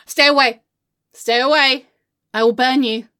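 Woman acting very high-voiced, melodramatic, aggrieved woman. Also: sing-song, unhurried, a bit bright, exaggerated voice. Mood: huffy, paranoid. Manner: histrionic, sweary, swinging between sulky muttering and wailing. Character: melodramatic, irrational, foul-mouthed woman outraged by her haircut. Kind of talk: comedic monologue to an unheard friend.

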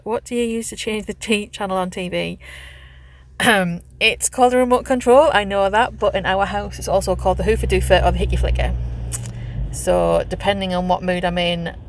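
Gravelly Northeast English woman chatting. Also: on the low side. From Northeast England. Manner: chatty, hesitant with frequent ums. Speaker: vlogger doing an accent challenge. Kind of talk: casual chat. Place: sitting in a car.

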